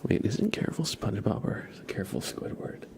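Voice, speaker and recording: A man hosting an ASMR livestream, speaking in a soft, soothing whisper and chatting gently to his viewers. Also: very animated. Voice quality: whispered, breathy, with low creaky murmurs. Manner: soft, slow, soothing, greets viewers one by one.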